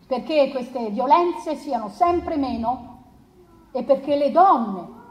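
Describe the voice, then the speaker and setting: A resolute lawyer in a stage courtroom drama. She pleads solemnly in a high voice, very slowly, with deliberate emphasis on key words. The room around her echoes. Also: animated, darkish tone. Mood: solemn conviction. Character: resolute female lawyer pleading for a wronged girl. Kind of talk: theatrical courtroom closing plea, stage adaptation of a trial.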